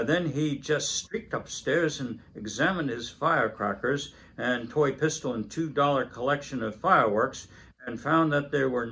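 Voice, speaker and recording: A man in his seventies reads a children's Christmas story aloud, unhurried, with small hesitations. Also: medium pitch, vocal fry, a bit bright. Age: 70s. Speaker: story reader.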